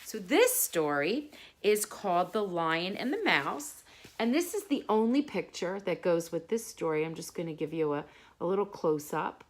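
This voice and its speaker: A woman's voice: lowish voice, very animated, normal speed. A woman hosting a children's bedtime story segment, talking to young listeners in a simple, friendly way and reading aloud. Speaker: children's storytime host and reader.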